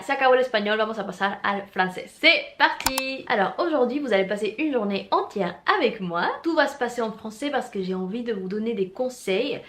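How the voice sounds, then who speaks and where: A woman's voice: fairly high pitch, a bit bright. Chatty young woman with Mexican accent. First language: Spanish. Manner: chatty, thinks aloud with frequent hesitant restarts. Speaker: vlogger. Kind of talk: casual vlog chat to camera.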